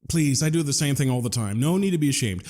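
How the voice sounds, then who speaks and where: An actor playing a flippant, verbose gentleman genius in a comedic audio drama, glib and mock-polite with stammering asides. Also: mid-range voice, expressive, fast talker, natural voice.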